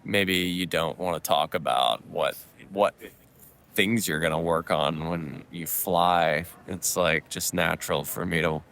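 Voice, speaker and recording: A man's voice: deep voice, fairly even intonation, unhurried, fairly bright tone. A young man co-hosting a podcast, talking in a hesitant, easygoing way with many fillers, recorded at an airport. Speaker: podcast co-host. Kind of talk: casual chat. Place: airport waiting area.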